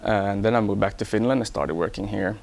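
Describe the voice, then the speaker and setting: A man from Hong Kong, an engineer, talking about himself in a measured, explanatory way for an employee profile. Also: fairly low pitch, gravelly, darkish tone. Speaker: electronics engineer. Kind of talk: first-person employee profile talk.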